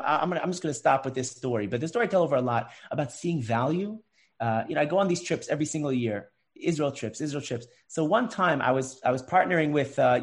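A man telling a story in an animated way, often repeating words as he speaks. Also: fairly high pitch. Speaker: guest speaker. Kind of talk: storytelling talk.

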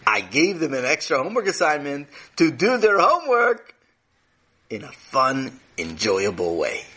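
An eager, chatty teacher gushes and rambles on, as played by a male storyteller. His husky, bright voice leaps around with animated enthusiasm. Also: a little high, a little slow, heavily acted. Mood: effusive. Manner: effusive, rambling, talks over others.

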